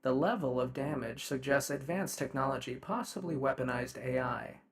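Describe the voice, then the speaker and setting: A man voicing a polite, methodical robot investigator in an ASMR roleplay, speaking in a formal, measured, emotionally restrained way. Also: mid-range voice, lightly acted. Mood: static, analytical. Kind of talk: ASMR roleplay character acting.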